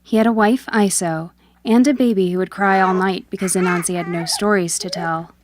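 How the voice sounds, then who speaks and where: A woman hosting and narrating a scripted folktale in a clear, measured storytelling manner. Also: on the low side, steady tone, slight background noise. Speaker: host and narrator.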